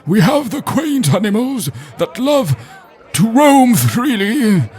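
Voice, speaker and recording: A man putting on an exaggerated wildlife documentary presenter voice, sing-song and breathy. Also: extremely high pitch, very animated, slow, a little mellow, soft background music, pitched above the performer's own voice, exaggerated voice. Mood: showy.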